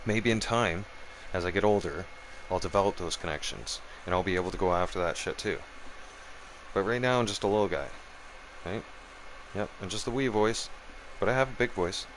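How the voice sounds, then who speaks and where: A man with a deep, low voice holds forth on his live stream. He swears freely, repeats himself and keeps checking in with "right?" and "okay?". A faint background hiss sits under him. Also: normal speed, fairly bright tone, low-quality recording. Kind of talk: angry political rant on a live stream.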